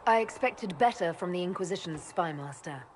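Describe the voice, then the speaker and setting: A woman's voice: on the low side, animated, moderate pace, a little hiss or hum, lightly acted. Woman acting a direct, principled elven heroine. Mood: challenging. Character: principled, questioning elven woman mage hero. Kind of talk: fantasy video game dialogue.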